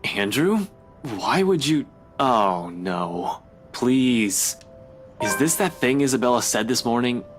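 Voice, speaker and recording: A man's voice: mid-range voice, animated, slow, soft background music. An actor playing a skeptical young man in a visual novel, informal and grumbling in manner. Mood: exasperated. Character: skeptical, casual young man, reluctant ex-companion.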